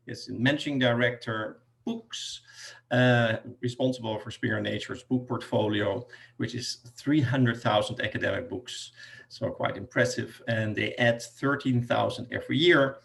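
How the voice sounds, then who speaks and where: A man's voice: medium pitch, fairly even intonation, moderate pace, creaky, a bit bright. A man moderating an online panel, introducing guests with frequent fillers. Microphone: video call.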